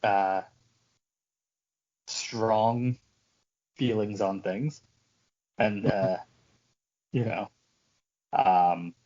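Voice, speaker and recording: A man with a somewhat low voice chats on a fan podcast. His delivery is halting and irreverent, with plenty of casual swearing. The recording is slightly muffled. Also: darkish tone. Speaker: podcast co-host. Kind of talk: casual film-fan banter.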